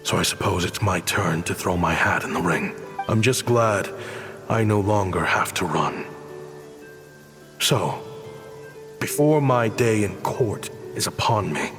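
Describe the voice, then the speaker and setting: A man's voice: low voice, animated, slow pace, long pauses, heavily acted. An actor voicing an earnest young exiled researcher obsessed with his craft, in a dramatic video game performance. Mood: composed, confessional. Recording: studio voice over prominent music. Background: music.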